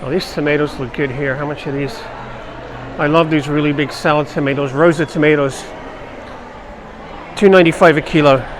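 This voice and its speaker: A man's voice: a little high, steady tone, normal speed, long pauses. A man hosting a vlog, chatting casually as he shops at an outdoor street market. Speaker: vlog host. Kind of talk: casual chat while shopping. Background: street and market noise.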